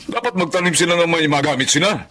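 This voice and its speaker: A man's voice: fairly high pitch, fast, pushed and bright. A man with a Filipino accent playing an indignant, hard-hearted, well-off husband in a radio drama, grumbling and scolding.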